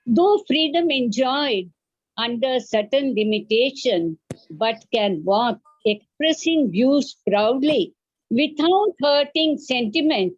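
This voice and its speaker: Female poet, Indian accent, high pitch, declamatory recital, dull lo-fi online-call sound. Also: no echo. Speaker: poet, event participant. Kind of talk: poetry recitation. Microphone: online group call.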